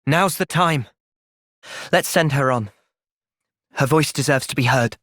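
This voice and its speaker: A man's voice: medium pitch, measured pace, a bit bright, dry recording, lightly acted. Earnest young British hero, very dry recording, acted by a man. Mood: gentle, reflective. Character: earnest young male soldier hero, thoughtful and resolute. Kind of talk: video game cutscene voice acting.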